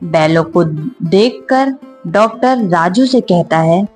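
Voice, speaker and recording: A woman's voice: on the low side, expressive, takes it slowly, a little mellow, husky, soft background music. A woman narrator reading a scripted story for children.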